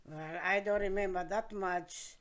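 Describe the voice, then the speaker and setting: A woman, being interviewed by her grandkids and telling her story in plain, halting, broken English. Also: medium pitch, steady tone. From Croatia. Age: 70s. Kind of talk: casual interview.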